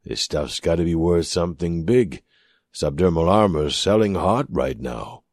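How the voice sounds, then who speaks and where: Man acting out a sly old scrap hustler, wheedling, husky, low, audiobook story. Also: unhurried, a little mellow, no echo, studio quality. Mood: coaxing. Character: shrewd old male scrap-meat hustler, coaxing.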